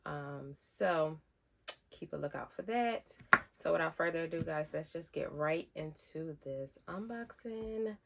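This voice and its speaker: Woman, deep gravelly voice, nasal with a cold, chatty unboxing vlogger, slight hiss behind. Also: lively intonation, a little slow. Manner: chatty, rambling with fillers. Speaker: sneaker vlogger. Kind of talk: casual unboxing chat.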